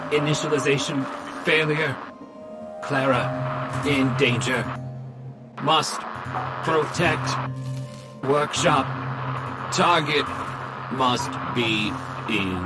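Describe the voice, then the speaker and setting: A damaged guardian robot from a video game, acted by a man, slow and dutiful. It speaks in halting fragments with a mid-pitched male voice, under loud music. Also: natural voice, poor audio. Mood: halting alarm. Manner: halting, fragmented machine speech.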